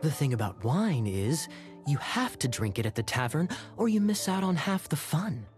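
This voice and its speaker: A man voicing a suave, teasing young gentleman in a video game cutscene, smooth and sardonic. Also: on the high side, very animated, moderate pace, over music.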